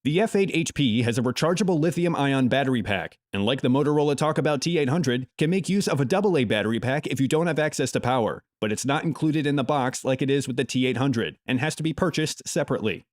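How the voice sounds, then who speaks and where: A man reading scripted product review narration in a measured, polished promotional way. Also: medium pitch, expressive, dry recording, studio quality. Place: close, dry voice-over recording.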